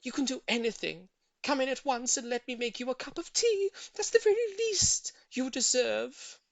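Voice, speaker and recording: A woman voicing an excitable, gushing older lady neighbour in a storybook reading. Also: sits high, normal speed, vocal fry, dry recording, voice pushed up. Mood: delighted, gushing. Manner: exclamatory, gushing.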